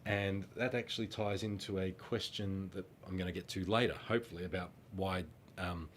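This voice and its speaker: A man's voice: deep voice, fairly even intonation, moderate pace, slight background noise. A middle-aged Australian man with a blocked nose from a cold, chatting casually through fan questions in a friendly, rambling way with lots of ums. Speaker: former children's band singer answering fan questions.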